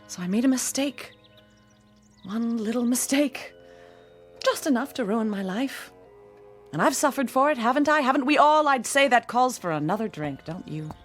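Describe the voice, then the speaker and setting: An actress playing a bitter, haunted, hard-drinking woman in her forties in a radio drama, theatrical and sardonic with a husky voice. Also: on the high side, lively intonation, normal speed, lightly acted. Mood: bitter, sarcastic. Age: 40s. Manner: theatrical, sardonic, self-pitying. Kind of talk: old-time radio drama acting. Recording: radio drama with music underneath.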